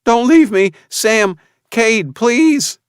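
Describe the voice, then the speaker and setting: A man's voice: very high voice, expressive, very slow, clear, resonant tone, no echo, studio quality, voice pushed up, full character voice. A man voicing a terrified boy held captive in an audiobook, wailing and pleading. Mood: desperate terror. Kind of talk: audiobook character acting.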